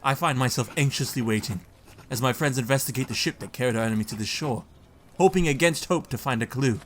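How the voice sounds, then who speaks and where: A man's voice: mid-range voice, fast talker, a little hiss or hum, natural voice. An actor playing an anxious young male hero who narrates his journal in a brooding tone in an audio drama.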